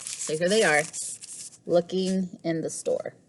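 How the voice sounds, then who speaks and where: A woman teacher reading a children's storybook aloud in a measured, animated way. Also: lowish voice, slight background noise, rough recording. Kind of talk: expressive storybook read-aloud.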